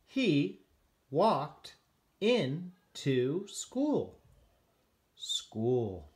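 Very slow, lively-pitched male teacher reading aloud. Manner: deliberate, separating each word. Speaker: first grade teacher. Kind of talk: slow word-by-word read-aloud for beginning readers.

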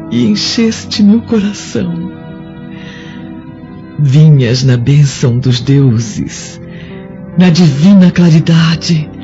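An actress playing a gentle, devoted Roman senator's wife in a radio drama, with tender, reverent, drawn-out delivery. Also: female voice, low-pitched. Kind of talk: radio drama acting, slow solemn recitation. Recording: dull lo-fi, clear music behind.

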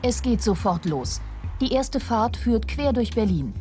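A woman reading scripted documentary narration in an even, informative way. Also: fairly high pitch, a little slow. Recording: voice-over over music.

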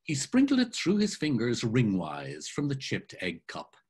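Older man narrating with expressive lilt. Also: medium pitch, measured pace, husky. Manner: savoring, expressive storytelling. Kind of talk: dramatic literary reading. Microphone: video call webcam.

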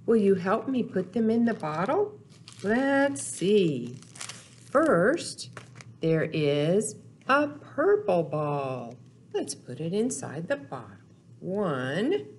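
Very slow, expressive woman leading children's storytime. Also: medium pitch. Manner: slow, deliberate, expressive, speaking to young children. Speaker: children's storytime host at a library. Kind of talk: children's storytime, simple sing-song instruction. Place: small indoor room, in front of backdrop. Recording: noticeable background noise, clicks of props.

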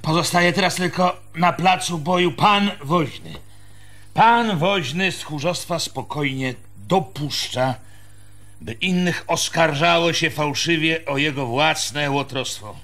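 An actor playing a stern, threatening village schoolteacher in a radio drama, with a pompous, authoritarian manner. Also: male voice, fairly high pitch, slow pace, pushed and bright, full character voice. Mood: menacing, accusing. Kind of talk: Polish radio drama acting.

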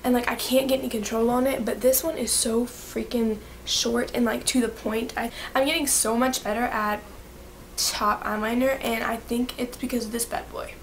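A young woman chats brightly to a close camera in her bedroom, her nose stuffy from a coming cold. Her voice sits a little high, and she gushes with quick, excited asides. A faint hiss runs under the recording. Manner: bubbly, gushing, quick asides. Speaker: beauty vlogger. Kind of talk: casual monthly favorites vlog. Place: bedroom, indoors.

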